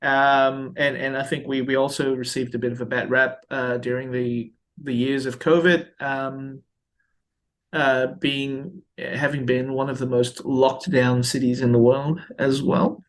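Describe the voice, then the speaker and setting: A man in his thirties from Malaysia, a priest speaking as an interview guest, halting often with fillers and restarts. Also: mid-range voice. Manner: hesitant, many fillers and restarts.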